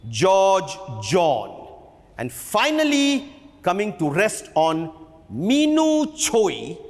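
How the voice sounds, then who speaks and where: A man with an Indian accent giving a dramatic reading of literary narration, with theatrical, deliberate phrasing. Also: sits high. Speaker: theatre performer reading from a book. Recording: reverberant hall.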